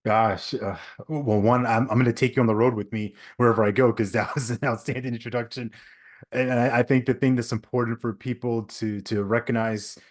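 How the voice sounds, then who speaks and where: Man, 30s, from Long Island, podcast guest, reflective storytelling, earnest, repeats himself, medium pitch. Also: steady tone, a little fast. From Long Island, New York. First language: English. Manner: earnest, reflective, frequent restarts and "right?" tags. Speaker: podcast guest, leadership speaker. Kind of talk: conversational storytelling.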